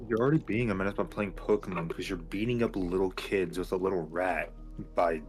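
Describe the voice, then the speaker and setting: A man's voice: low voice, brisk, a little mellow, faint music behind. A man bantering casually with a gaming group and swearing freely. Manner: foul-mouthed.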